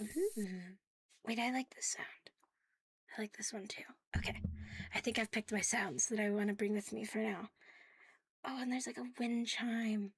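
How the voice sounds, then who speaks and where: A woman chatting casually to viewers on an ASMR livestream, soft and breathy with a playful, cutesy manner, often dropping to a whisper close to the mic. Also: on the high side, fairly even intonation, normal speed. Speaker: ASMR live streamer hosting in a virtual world. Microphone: close, sensitive streaming microphone.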